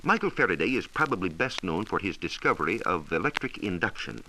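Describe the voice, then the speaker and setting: An American man presenting a scripted 1940s educational radio science talk with measured, emphatic storytelling. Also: low voice, big pitch swings. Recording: hissy 1940s radio transcription recording.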